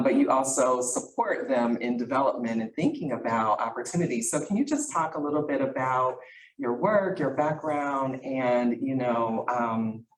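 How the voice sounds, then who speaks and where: A panel moderator talks in an easy, conversational way, thanking a guest and posing questions with frequent fillers. The voice carries a distant, roomy sound from a large hall. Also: medium pitch.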